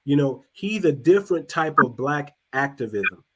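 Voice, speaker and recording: A man's voice: expressive, normal speed, slightly rough, low-quality recording. Man in loose, rambling opinion talk. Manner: loose and conversational, repeats and restarts words, drops pitch and volume for emphasis. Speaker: podcast host.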